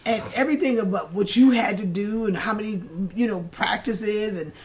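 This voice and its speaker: A woman's voice: mid-range voice, normal speed, crowd noise, rough recording. A middle-aged or older woman chatting casually as a guest, listening closely and giving short affirming replies. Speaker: guest, the host's mother. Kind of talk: casual chat.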